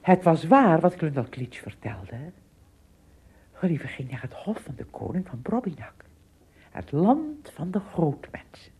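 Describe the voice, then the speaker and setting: A woman's voice: low-pitched, big pitch swings, a little slow, mellow, husky. A woman narrating a radio play in a scripted storytelling style.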